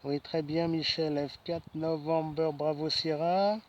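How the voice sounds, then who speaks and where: A man's voice: a little high, level tone, measured pace, dull audio. A man chatting casually as an amateur radio operator outdoors in a wood, speaking in short, choppy phrases. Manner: choppy phrases with frequent tag words. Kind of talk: ham radio contact, casual chat. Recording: faint outdoor background noise.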